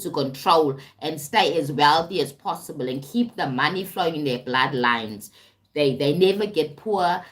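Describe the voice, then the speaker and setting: A woman from South Africa who works as a spiritual and life coach, talking casually to viewers on a live stream. She rambles, repeats herself and keeps checking in with "right?". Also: deep voice. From South Africa (Durban). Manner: rambling and repetitive, often asks "right?" and "you understand?".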